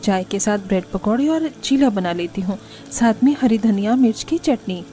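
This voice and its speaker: A woman's voice: medium pitch, lively intonation, moderate pace, dark tone, faint music behind, lightly acted. A woman voicing a sweet, obedient young newlywed daughter-in-law in a Hindi family cartoon, speaking meekly and politely. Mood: polite, eager. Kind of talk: Hindi moral-story cartoon dubbing.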